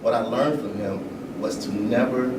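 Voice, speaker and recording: A man giving a personal account in an informal discussion, in short, halting phrases with pauses. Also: lowish voice. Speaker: panel speaker. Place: large room at a live event. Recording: roomy, distant mic, clear background noise.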